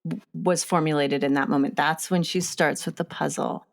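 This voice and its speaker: Female podcast host, slightly low register, enthusiastic and conversational, thinking aloud in halting phrases. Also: fairly even intonation, gravelly. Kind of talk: casual film discussion.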